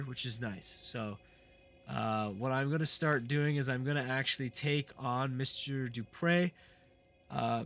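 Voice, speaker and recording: A man who is sick and a bit raspy, hosting a game playthrough in casual, rambling commentary with many fillers and restarts. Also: medium pitch, fairly bright tone. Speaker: gaming channel host. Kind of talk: casual let's play commentary.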